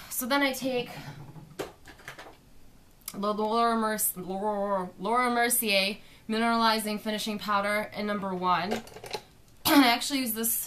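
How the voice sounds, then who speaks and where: Young woman vlogger with a slightly hoarse voice. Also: medium pitch, a bit bright. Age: young adult. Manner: chatty, explains each step while applying makeup. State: slightly hoarse from a sore throat. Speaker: beauty vlogger. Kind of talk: makeup tutorial chat. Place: bedroom. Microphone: webcam at desk distance.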